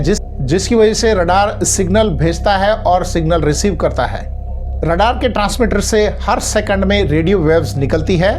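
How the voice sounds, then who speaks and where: A man narrates an explainer video over clearly audible background music. He follows a script and speaks to viewers directly, setting things out step by step in an instructional manner. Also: sits high. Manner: explanatory, addresses viewers as friends. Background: prominent background music.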